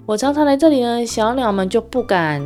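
A woman's voice: on the high side, expressive, fairly quick, darkish tone, soft background music, voice pushed up, close to an everyday voice. A woman voicing a sly, scheming male fox in a children's story.

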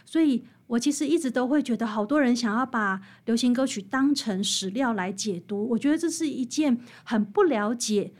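Female guest author, high voice, Taiwanese Mandarin accent, animated and emphatic conversational explainer. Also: moderate pace, hardly any pauses. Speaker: guest author, researcher of popular songs. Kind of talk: interview conversation, explanatory storytelling.

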